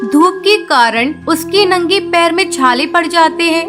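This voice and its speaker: High-pitched woman narrating a story, music behind. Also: a little slow. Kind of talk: scripted cartoon story narration.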